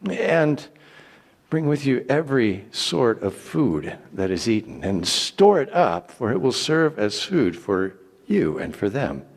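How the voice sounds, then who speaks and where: A man's voice: mid-range voice, big pitch swings, takes it slowly, exaggerated voice. A man voicing God in a dramatic Bible reading, giving grand, declamatory commands with solemn authority. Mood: solemn, instructing. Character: God, mighty male deity commanding Noah with solemn authority. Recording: long echoing hall reverb.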